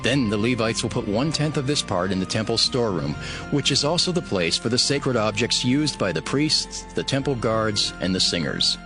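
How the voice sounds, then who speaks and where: Low-voiced man narrating scripture over music. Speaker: Bible narrator. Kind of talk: scripted scripture narration for dramatized audio Bible. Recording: music behind the voice. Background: background music.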